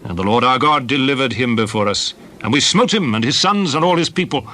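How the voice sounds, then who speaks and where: Casting: solemn scriptural patriarch-leader, forceful bright tone, lively intonation, declamatory delivery for Bible audio drama. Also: male voice, mid-range voice, a little fast. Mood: solemn recounting. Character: solemn Old Testament leader recounting wars and journeys. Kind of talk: dramatized Bible reading, archaic English.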